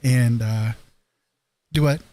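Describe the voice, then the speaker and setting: A man's voice: mid-range voice, takes it slowly, mellow. Man, livestream host, rambling casual chat, lots of fillers, laughs often, rural home.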